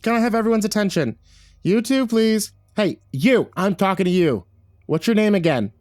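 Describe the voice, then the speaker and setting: A man's voice: fairly high pitch, very animated, a little fast, voice pushed up. A man voicing a demanding, officious woman who works as an assistant director, with stiff and exasperated phrasing in an audiobook. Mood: brisk, bossy. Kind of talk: audiobook character voice.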